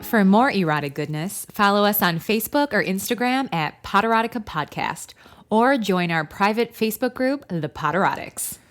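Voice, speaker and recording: A woman's voice: mid-range voice, expressive, moderate pace, faint music behind. A woman co-hosting a comedy podcast in casual banter, with a giggly, playful manner. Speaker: podcast co-host.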